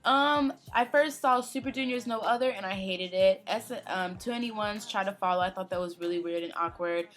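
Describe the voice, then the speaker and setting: A young American woman co-hosting a casual fan-channel question-and-answer video, talking in a chatty, animated way. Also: medium pitch. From United States. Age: young adult. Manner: chatty, animated, quick when opinionated. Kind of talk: casual Q&A chat.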